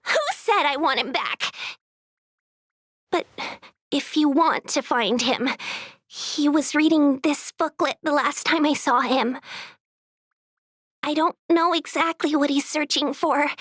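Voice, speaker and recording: An actress voicing a hot-headed, wounded young woman in a video game, halting and emotional as she talks. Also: sits high, a bit bright, no room sound, clean studio sound. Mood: sulky. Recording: music behind the voice.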